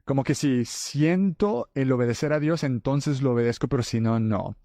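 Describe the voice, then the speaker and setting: A man's voice: medium pitch, moderate pace, dark tone, no room sound, studio quality. A man from El Salvador, hosting a faith podcast and earnestly talking straight to his listeners as friends. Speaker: podcast host.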